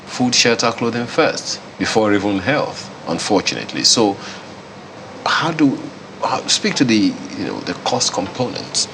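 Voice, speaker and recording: A man's voice: on the low side, very animated, a little slow, background noise. A man hosting a TV studio discussion, talking casually and searching for words with fillers. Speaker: TV talk show host.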